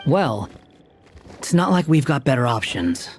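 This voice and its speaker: A man's voice: medium pitch, very animated, measured pace, soft background music. A man voicing a terse young traveler who speaks in a curt, dry, weary way. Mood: practical.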